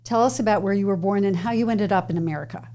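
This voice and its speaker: A woman's voice: medium pitch, fast, warm tone. A woman journalist sits across from the host and interviews her for a podcast. She asks her questions with enthusiasm and sounds encouraging throughout.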